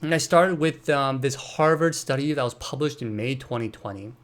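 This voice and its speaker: A young man from Brazil hosts his own podcast and talks through his thoughts alone. His voice sits at an ordinary middle pitch. He thinks aloud, restarts sentences and fills pauses with um and you know. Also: fairly bright tone. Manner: thinking aloud, self-correcting, many fillers. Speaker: podcast host. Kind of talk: solo podcast monologue.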